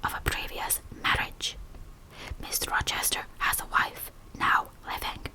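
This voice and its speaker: A steady male lawyer lays out his case as a woman whispers him, every word measured, calm and formal. The whisper is airy and very bright. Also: low-quality recording. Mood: firm.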